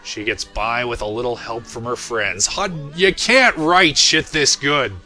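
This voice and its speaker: Bright-voiced man chatting over music. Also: mid-range voice, a little slow. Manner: chatty, self-amused, filler-laden asides. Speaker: creator doing episode commentary. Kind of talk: casual commentary on his own parody series. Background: music playing underneath.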